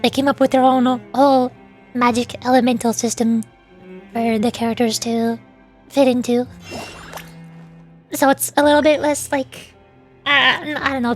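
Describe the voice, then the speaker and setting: A woman with a high-pitched voice gives casual, rambling live-stream commentary. She explains things in a chatty way and drops in playful asides. Music plays behind her.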